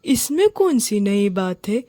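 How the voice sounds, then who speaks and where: A woman voicing a scheming, bossy rich mistress in a children's cartoon, sharp, haughty and scornful in manner. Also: mid-range voice, sing-song, slow, no room sound, clean studio sound. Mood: stern, scornful. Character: scheming, bossy wealthy mistress scolding her maid. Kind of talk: Hindi children's cartoon story acting.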